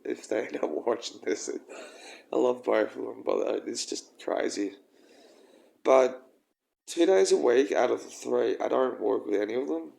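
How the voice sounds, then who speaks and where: Gravelly young guy, croaky, rambling, lots of ums, mid pitch. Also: lively intonation, darkish tone. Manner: rambling, halting, with frequent ums and swearing. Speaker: vlogger. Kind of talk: casual personal vlog.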